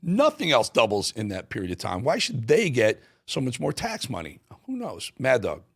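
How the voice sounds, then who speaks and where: Animated, gravelly American man hosting political talk. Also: medium pitch, normal speed. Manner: emphatic, repeats phrases for punch. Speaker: talk show host. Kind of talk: opinionated political commentary on viewer voicemails.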